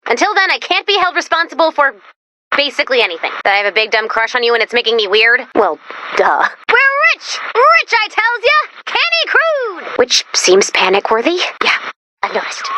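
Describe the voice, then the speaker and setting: A woman's voice: high voice, wildly swooping pitch, bright, forceful tone, slight background noise, exaggerated voice. A woman voicing a perky, nervous, geeky female robot assistant in a video game, chatty, quirky and easily flustered. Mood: flustered, smitten, then giddy. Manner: flustered, quirky, halting then gushing. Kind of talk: animated video game character voice lines.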